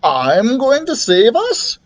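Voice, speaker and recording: Man as a young storybook dragon, raised pitch, earnest, childlike, good-natured, lively. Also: unhurried, full character voice. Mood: eager, surprised. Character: gassy, good-natured young male dragon, eager to help.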